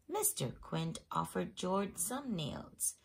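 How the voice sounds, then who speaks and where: A woman host reading a children's picture book aloud in an expressive storytelling manner. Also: on the low side, slow, creaky, slightly rough. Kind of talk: scripted storybook read-aloud.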